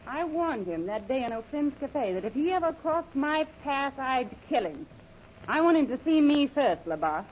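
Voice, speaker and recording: Imperious villainess, sardonic, vengeful, animated pitch swings, old radio drama, muffled hissy recording. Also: female voice, moderate pace. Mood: commanding. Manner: imperious, sardonic, cool threats. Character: commanding, vengeful villainess known as the Batwoman. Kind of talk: 1930s radio serial acting.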